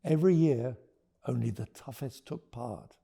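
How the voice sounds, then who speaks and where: Mellow, slow-paced man reading a storybook. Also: medium pitch, vocal fry, husky. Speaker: children's author reading his book aloud. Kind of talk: expressive storybook read-aloud with teaching notes.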